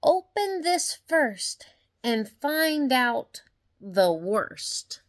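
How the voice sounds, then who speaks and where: An older woman reads a children's storybook aloud. Her voice sits fairly high and swings widely in pitch. She takes her time, very slowly drawing out each question with expressive, deliberate drama. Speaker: grandmother reading a storybook aloud. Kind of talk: children's picture-book read-aloud.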